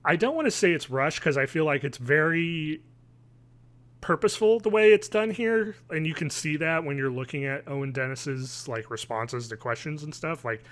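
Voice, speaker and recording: A man, chatting casually and haltingly as a podcast co-host from home. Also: on the high side. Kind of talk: casual review chat. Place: home living room, video call.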